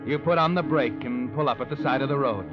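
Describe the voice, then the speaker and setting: An actor playing a sinister, all-knowing narrator in an old radio noir drama, ominous and insinuating. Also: male voice, medium pitch, fast talker, lightly acted. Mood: ominous, suspenseful. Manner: ominous, insinuating second-person storytelling. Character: sinister all-knowing male narrator haunting a guilty man. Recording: dull old radio recording, music behind. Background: music.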